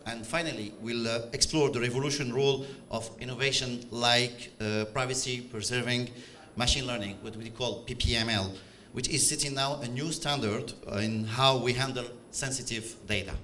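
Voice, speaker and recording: Man, middle-aged, Algerian accent, bright voice, hesitant conference talk, many fillers. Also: mid-range voice, fairly even intonation, normal speed, slight background noise. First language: French and Arabic. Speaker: conference speaker. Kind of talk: conference presentation. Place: conference stage.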